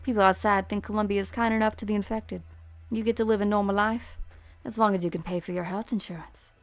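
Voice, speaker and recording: A woman's voice: mid-range voice, steady tone, fast talker, faint music behind, lightly acted. A woman voicing a jaded, hard-bitten police officer in a game fan dub. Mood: bitter, resigned.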